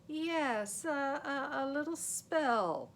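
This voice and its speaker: Lady doing kid pretending to be a big stage magician, high showy patter, storybook style, noisy rumbly recording. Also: extremely slow, exaggerated voice. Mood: stalling. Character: boy bluffing as a grand stage magician. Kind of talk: storybook character voice. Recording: steady noise with low rumble.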